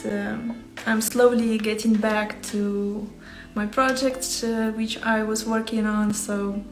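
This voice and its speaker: Slow-talking woman with a Ukrainian accent. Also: fairly high pitch, echoey room, rough recording. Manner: hesitant, searching for words. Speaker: embroidery artist hosting a livestream. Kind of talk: casual livestream chat to viewers. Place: small home workspace indoors.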